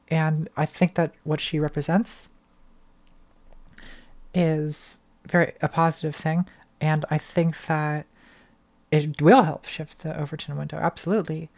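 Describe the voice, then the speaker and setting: A young woman answering patron questions on a casual livestream from her bedroom, thoughtful and hesitant, with a slightly hoarse voice, speaking into a studio mic. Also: deep voice. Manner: thoughtful, hesitant, many restarts and ums. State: voice getting hoarse. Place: small bedroom lit purple. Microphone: studio mic on arm, front of frame.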